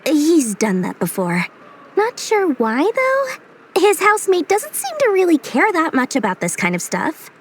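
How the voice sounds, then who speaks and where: A woman's voice: very high-pitched, wildly swooping pitch, a little slow, slight background noise, heavily acted. A woman voicing a small, shrewd, money-loving female merchant in a video game, with a cheeky, theatrical, sing-song delivery. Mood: matter-of-fact. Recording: game music behind voice.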